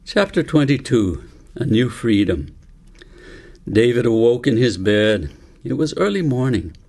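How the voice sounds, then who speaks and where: A man narrating a children's audiobook in a measured, deliberate storytelling manner. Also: mid-range voice, big pitch swings, darkish tone. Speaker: author reading own book aloud.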